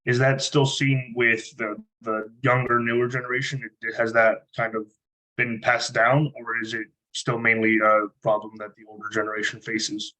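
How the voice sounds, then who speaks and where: A man in a seminar asking another team a question, pausing to search for words. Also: medium pitch, level tone, sharp tone, rough recording. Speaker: seminar participant.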